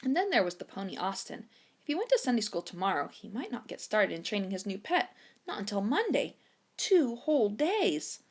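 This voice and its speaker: Woman, storytime reader, children's audiobook narration, expressive, medium pitch, lively intonation. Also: normal speed.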